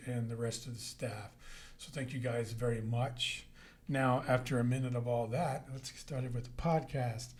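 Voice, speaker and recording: Relaxed podcast host, man. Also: mid-range voice. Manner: relaxed, rambling with fillers. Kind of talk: casual podcast chat.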